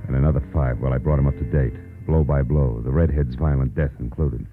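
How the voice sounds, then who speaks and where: A deep-voiced, hard-boiled private eye, shrewd and sardonic. He talks in clipped tough-guy lines in the manner of 1940s radio drama. The sound is a muffled old broadcast with music in it. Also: fairly even intonation, fast talker. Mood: grim, brooding. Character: hard-boiled male private detective, wry, shrewd and insistent. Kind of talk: 1940s radio drama acting with first-person narration.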